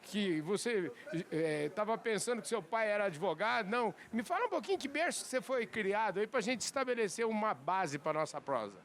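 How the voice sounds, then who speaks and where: A man's voice: high voice, lively intonation, a little fast, a bit bright. A man hosting an interview program, chatting in a folksy way and encouraging his guest with short replies. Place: fair stand.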